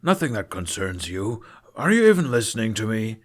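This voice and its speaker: Man playing a stern, protective low-voiced father king. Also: big pitch swings, moderate pace. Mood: scolding. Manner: scolding, commanding, protective.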